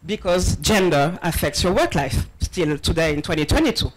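A woman's voice: fairly low pitch, expressive, moderate pace, poor audio. A woman in her thirties, born in Senegal and raised in France, giving a conference talk into a handheld mic, a little nervous, with many ums. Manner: nervous, laughing between points, many ums. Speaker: conference speaker on diversity hiring. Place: large event space, partly open-air, with audience. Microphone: handheld microphone.

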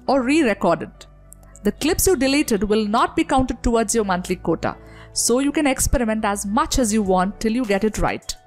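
A woman reading a scripted software review as a video voice-over. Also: on the high side. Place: voice-over over screen recording.

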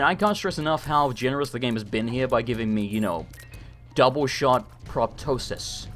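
A man commentating a live gameplay stream, hoarse and losing his voice, talking frantically and swearing often. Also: a little high. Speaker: gaming streamer.

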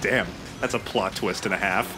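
A man's voice: lowish voice, fairly even intonation, normal speed. A man casually bantering over video game play, dropping offhand quips as a let's play commentator. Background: video game music.

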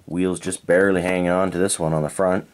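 A man from Canada reviewing toy cars in a relaxed, unhurried way, thinking aloud and correcting himself as he goes. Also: deep voice, slight background noise. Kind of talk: unscripted hands-on toy review. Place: indoors at a tabletop diorama.

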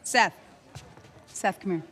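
Firm, caring American woman, music behind. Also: slow pace. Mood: firm, coaxing. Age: middle-aged. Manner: gentle but insistent. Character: firm, caring middle-aged woman, town leader. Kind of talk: naturalistic video game acting.

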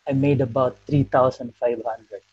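A man from the Philippines, a guest telling his story on a video call, soft-spoken with frequent pauses. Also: medium pitch, steady tone, breathy edge, highs cut off. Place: indoor room.

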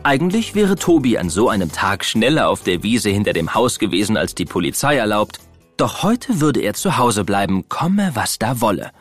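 A man narrating a children's audio play as an expressive, dramatic storyteller. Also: lowish voice, moderate pace, faint music behind.

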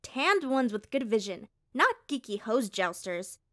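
A woman's voice: a little high, big pitch swings, a little slow, a bit bright. A woman playing a brash, vain, bossy teenage sister, sassy and dismissive, in an audio drama. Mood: pushy, scornful.

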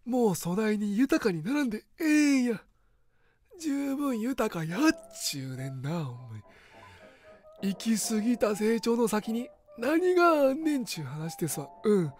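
Man doing a Kansai customer, folksy, musing, slightly high voice, faint music behind. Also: big pitch swings, moderate pace, a little mellow. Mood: wistful.

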